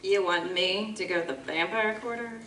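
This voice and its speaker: An actress playing a sharp-tongued young female reporter with quick, wry retorts, recorded live on stage. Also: medium pitch, natural voice. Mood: brisk. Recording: roomy live stage from a distance, faint noise.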